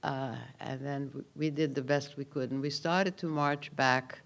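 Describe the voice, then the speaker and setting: A man's voice: medium pitch, measured pace, gravelly. Elderly man with a Hungarian accent. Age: 80s. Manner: calm storytelling with dry understatement. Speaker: Holocaust survivor giving a keynote. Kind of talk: personal testimony speech at a memorial ceremony.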